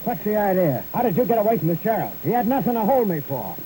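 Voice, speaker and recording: An actor playing a determined young hero in a 1930s film serial, brisk and commanding. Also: male voice, high voice, big pitch swings, mellow, poor audio. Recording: hissy old VHS film transfer.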